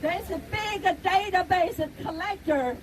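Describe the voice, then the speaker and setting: A middle-aged woman with a Chinese accent gives an impassioned rally speech outdoors. Her voice sits very high, and she speaks in slow, deliberate phrases that swell into shouts. Faint traffic hums behind her. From China. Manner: emphatic, deliberate, rising to shouts.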